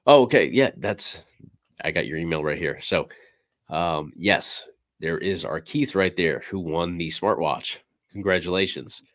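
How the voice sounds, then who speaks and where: A man with a very low, deep voice hosts a laid-back livestream, his voice a little raspy and hoarse as he starts to lose it. He rambles easily with plenty of ums and you-knows, close to the mic in a dry, dead room. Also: unhurried, no echo. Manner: relaxed, rambling, many fillers. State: slightly hoarse, losing voice. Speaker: livestream host. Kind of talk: casual livestream chat.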